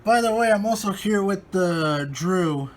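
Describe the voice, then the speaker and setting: Slow-paced, high-voiced man chatting casually. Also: lively intonation, slight background noise. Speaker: host of a hobby radio-test video. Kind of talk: casual chat.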